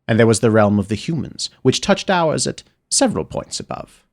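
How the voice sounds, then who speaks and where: Guy reading a dark fairy tale out loud, first person, super expressive, voice swoops all over. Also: on the low side. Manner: expressive, dramatic storytelling. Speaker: audiobook narrator.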